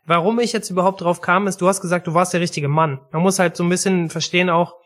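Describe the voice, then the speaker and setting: A man's voice: high voice, steady tone, fast talker, fairly bright tone. A man interviewing casually, talking through his questions in a conversational way. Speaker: interviewer, podcast host.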